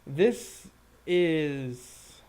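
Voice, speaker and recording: Slow-paced male game master. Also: fairly high pitch, dark tone, clear, resonant tone. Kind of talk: improvised tabletop game narration.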